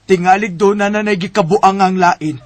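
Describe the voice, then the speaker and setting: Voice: medium pitch, fairly even intonation, normal speed, very noisy, natural voice. An actor with a Cebuano Bisaya accent playing a blunt, suspicious, meddling older parent in a radio drama. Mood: distrustful. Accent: Cebuano Bisaya Filipino.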